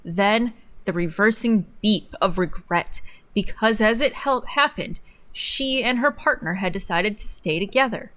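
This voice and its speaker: A woman's voice: medium pitch, unhurried, a bit bright. A young woman co-hosting a livestream from a room indoors, giving a dramatic reading of an article. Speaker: livestream co-host.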